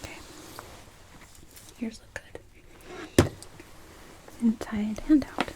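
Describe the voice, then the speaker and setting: A woman in a whispered ASMR roleplay as a doctor, slowly giving gentle instructions during an exam. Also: on the high side, background noise.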